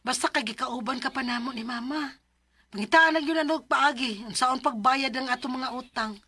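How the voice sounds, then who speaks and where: High, bright-voiced earnest daughter. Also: female voice, normal speed, slightly rough, full character voice. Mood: reassuring. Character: earnest adult daughter, a letter writer, practical and caring. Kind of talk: radio drama acting.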